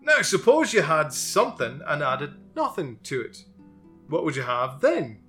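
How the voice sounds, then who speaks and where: A man's voice: a little high, wildly swooping pitch, a little slow, a bit bright, full character voice. A man voicing a pedantic many-faced geometric male figure with a put-on Scottish accent, with faint guitar music behind. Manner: pedantic, exclaiming.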